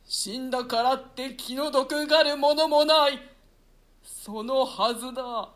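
A man's voice: very high voice, fairly even intonation, measured pace, a bit bright. An actor playing a guilt-ridden villain king, delivering an anguished theatrical monologue in a staged radio-play reading.

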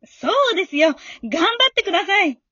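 An amateur actress playing a friendly, encouraging female fan who talks politely and gives gentle advice in a radio drama. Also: extremely high pitch, expressive, a little fast, no echo, dull audio, full character voice. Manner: polite, supportive, gently advising.

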